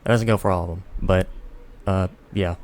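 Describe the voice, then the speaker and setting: Low-voiced man, casual tutorial delivery. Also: steady tone, darkish tone. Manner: informal, halting step-by-step delivery. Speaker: tutorial presenter. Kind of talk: casual unscripted software tutorial.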